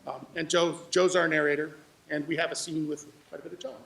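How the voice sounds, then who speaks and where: A man gives a public presentation as a sound editor, sharing anecdotes. His voice sits high for a man and has a lot of fry in it. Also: level tone, fast, fairly bright tone, a little hiss or hum, low-quality recording.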